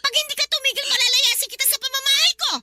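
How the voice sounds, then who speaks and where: A woman's voice: very high voice, fairly even intonation, racing. A woman playing a stern, dismissive middle-aged aunt who scolds and belittles in a Tagalog radio drama. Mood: indignant, defensive.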